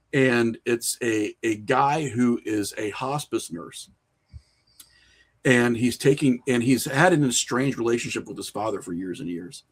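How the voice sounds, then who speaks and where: Middle-aged man, opinionated and rambling. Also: medium pitch. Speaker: podcast guest, horror filmmaker and writer. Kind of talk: casual interview conversation.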